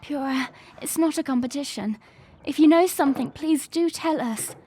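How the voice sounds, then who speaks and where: A high-voiced young princess, acted by a woman, prim, serious and bound by duty. She speaks earnestly in an audio drama, with faint noise on the recording. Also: moderate pace, a little mellow, close to an everyday voice. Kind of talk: fan audio drama acting.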